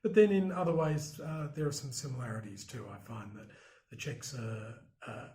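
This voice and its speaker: A man answering interview questions in a measured, reflective way, pausing with frequent ums and restarts. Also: medium pitch, fairly even intonation, dark tone, roomy sound. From Australia. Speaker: interviewee, broadcast journalist.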